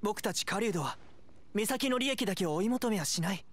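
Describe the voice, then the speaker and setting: A man's voice: high voice, expressive, fairly quick, a bit bright, soft background music. An actor playing an earnest young male hunter in an anime game, reproving and principled.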